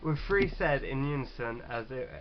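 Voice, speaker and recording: A man's voice: mid-range voice, expressive, normal speed, muffled recording. A man hosting a casual gaming read-aloud, jokey and sweary, stumbling a little as he reads. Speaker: gaming video host.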